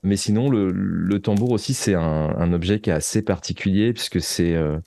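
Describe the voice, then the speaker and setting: Very deep-voiced, mellow man. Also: gravelly, no echo. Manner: hesitant, thoughtful, articulate phrasing with many searching pauses. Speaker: interview guest, fiction writer and musician. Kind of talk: reflective, conversational interview answers.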